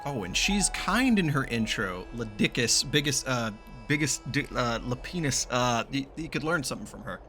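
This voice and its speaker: A man giving casual, jokey and excitable reaction commentary as he streams a game, swearing freely. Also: medium pitch, moderate pace, few pauses. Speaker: gaming streamer. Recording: loud game music behind.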